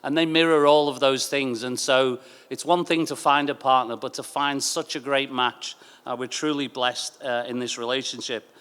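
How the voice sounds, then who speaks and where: A man giving a thank-you speech as a sports club executive, phrasing it in a halting way with repeated words. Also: medium pitch, fairly even intonation, fairly bright tone, clear, resonant tone. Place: large stadium venue. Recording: long echoing stadium PA reverb.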